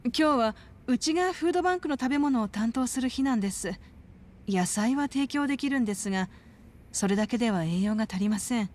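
A woman playing a gentle, devout caretaker at a religious children's home in a game, speaking politely and measuredly. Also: fairly high pitch, expressive, brisk, natural voice. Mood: troubled. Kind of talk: scripted Japanese video game dub.

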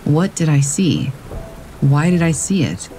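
A man calmly reading scary stories aloud as a narrator, in a steady storytelling manner. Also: fairly high pitch, a little slow, soft background music. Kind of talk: scripted narration of reader submissions. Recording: faint rain in the background. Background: rain.

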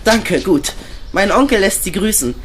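A man's voice: high voice, animated, a little slow, fairly bright tone, slight background noise. An earnest boy detective. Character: curious, polite boy detective. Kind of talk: radio play acting.

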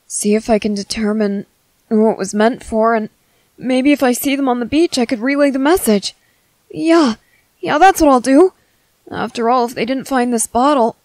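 A woman playing a gentle, curious young merboy in an ASMR roleplay, hesitant and musing to himself. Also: on the high side, no echo, natural voice, poor audio. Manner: hesitant, musing to self, repeating words. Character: gentle, curious young merboy, soft-hearted and easily flustered.

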